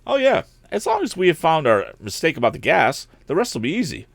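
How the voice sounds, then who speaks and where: Man voicing an eager boy inventor, swooping pitch. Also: huge pitch swings, a little fast, gravelly, no echo, heavily acted. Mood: eager, confident. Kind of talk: audiobook character voice.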